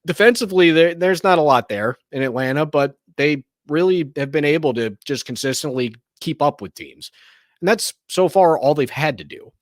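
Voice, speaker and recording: A man hosting a sports show, giving casual, opinionated commentary in a slightly hoarse, raspy voice, rambling and often restarting his sentences. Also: a little high, lively intonation, normal speed, no room sound, clean studio sound.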